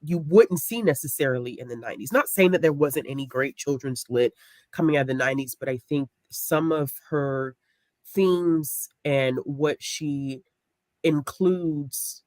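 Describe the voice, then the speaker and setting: A woman's voice: deep voice, unhurried, a little mellow, dry recording. Emphatic woman chatting, dry close sound. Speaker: book discussion co-host. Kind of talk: casual group book discussion. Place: online group video call.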